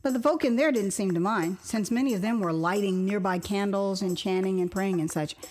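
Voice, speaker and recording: An older American woman reads her memoir like an audio drama, with theatrical expression and wry, amused asides. Her voice sits at an average pitch for a woman. Music plays faintly behind the narration. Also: fairly quick, a little mellow. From Houston, Texas, United States. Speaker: author reading her own memoir. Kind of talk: dramatized first-person storytelling narration.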